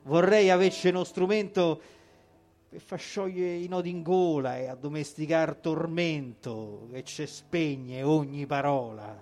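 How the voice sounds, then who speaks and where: A man's voice: on the high side, steady tone, unhurried, a little mellow, faint music behind. A man reciting poetry live, declaiming emphatically to instrumental accompaniment. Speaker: poetry reader. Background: musical instrument accompaniment.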